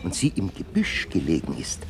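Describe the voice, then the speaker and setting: A man's voice: low voice, wildly swooping pitch, normal speed, a little hiss or hum. An actor playing a boastful, thuggish man who talks smugly and menacingly in a radio play. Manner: smug, menacing storytelling. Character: boastful thuggish man telling of paid intimidation.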